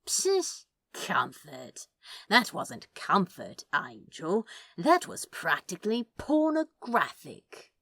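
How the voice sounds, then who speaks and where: A woman's voice: lowish voice, wildly swooping pitch, slow, creaky, fairly bright tone, breathy edge, dry recording, clean studio sound, heavily acted. A woman voicing a sardonic, teasing male demon in a fan fiction audiobook, with a cocky, leering drawl. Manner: drawling, leering, mock-seductive.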